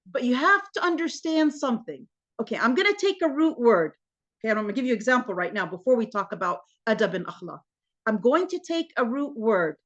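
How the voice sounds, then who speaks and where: Animated American woman teaching a class. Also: fairly high pitch, dull audio. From United States. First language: English. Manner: animated, repeatedly addresses the class as "you guys". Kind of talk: classroom lecture.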